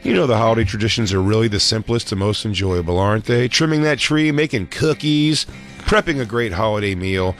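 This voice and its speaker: A man co-hosting a comedy podcast, riffing loudly in casual banter and reading an ad read. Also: lowish voice, fairly quick, soft background music. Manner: loud, riffing, slipping into silly voices. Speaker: podcast host.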